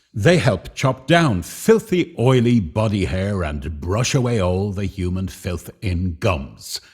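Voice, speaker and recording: A man narrating a scripted radio play in a dramatic, deliberate storytelling manner. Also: on the low side, a little mellow, slightly rough.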